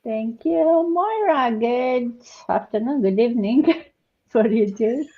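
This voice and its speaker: A woman's voice: a little high, expressive, slow pace. A woman from Romania hosting a live online panel, talking hesitantly with many fillers and restarts. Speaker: host. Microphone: video call. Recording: dull lo-fi online call audio.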